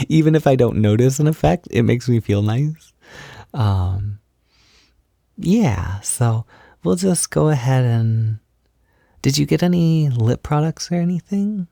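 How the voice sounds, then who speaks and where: A man playing a gentle, reassuring partner in an intimate ASMR-style roleplay, soft-spoken and soothing, with casual phrasing that often slips toward a whisper. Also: mid-range voice, animated, takes it slowly, creaky, long pauses, no echo, studio quality. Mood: chatty, encouraging. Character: gentle, reassuring male partner doing a beginner's makeup.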